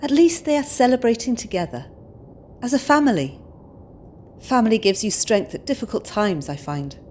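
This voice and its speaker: A woman's voice: fairly high pitch, lively intonation, normal speed, a little mellow, slight background noise, lightly acted. A woman playing a young British expectant mother in an audio drama, polite, earnest and outspoken. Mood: eager, polite.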